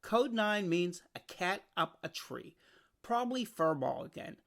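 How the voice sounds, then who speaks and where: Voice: mid-range voice, sing-song, measured pace, gravelly, a bit bright, heavily acted. A storybook reader voicing a jovial, bold male fire chief who gives hearty commands. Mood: bold, commanding.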